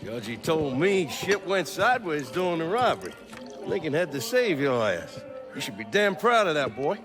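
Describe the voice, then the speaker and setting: A hearty, brash American gangster boss with a high, animated voice. He is slangy and backslapping, over music that plays behind him. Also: moderate pace. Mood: blunt, jovial. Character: brash, backslapping mob boss come to collect his cut. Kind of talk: video game cutscene acting.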